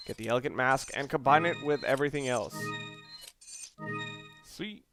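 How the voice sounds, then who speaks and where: A man's voice: medium pitch, moderate pace, music in the background. A young man giving casual, rambling commentary over a video game, correcting himself and swearing when caught off guard. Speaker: let's play commentator. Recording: game sounds and noise behind voice.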